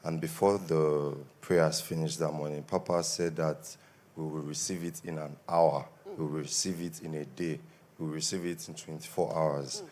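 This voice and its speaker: A young Nigerian man with a Nigerian accent gives an earnest testimony at a church service, his voice a little worn from shouting. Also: extremely low pitch, flat delivery, moderate pace. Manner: earnest, grows more emphatic. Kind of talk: spoken testimony.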